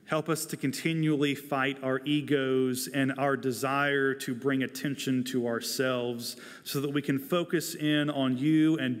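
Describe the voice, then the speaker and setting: A man in his forties delivering a prepared sermon as a pastor, earnest and deliberate, speaking into a lapel mic in a large church. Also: medium pitch. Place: large church sanctuary, indoors, at a pulpit. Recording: long hall reverb of a large sanctuary.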